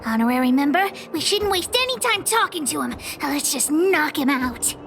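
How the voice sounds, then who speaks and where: A woman's voice: sits high, lively intonation, moderate pace, vocal fry, over music. A woman voicing a brash, stubborn little girl adventurer in a video game, blunt and bossy. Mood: bossy, combative. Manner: blunt, bossy, refers to self in third person. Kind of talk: animated video game voice acting.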